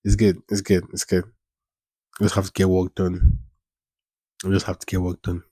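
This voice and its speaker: A man's voice: low voice, completely flat delivery, moderate pace, warm tone, no room sound. A man in his twenties hosting a casual solo podcast, rambling, repeating phrases and using informal slang. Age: 20s. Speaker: podcast host.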